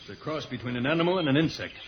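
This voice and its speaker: An actor playing an earnest, protective space hero and guide, speaking gravely in old-time radio serial style. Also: male voice, mid-range voice, animated, rapid-fire, natural voice. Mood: grave, cautioning. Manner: grave, explanatory. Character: earnest, protective male space hero guiding through jungle. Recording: muffled old radio transcription, loud noise.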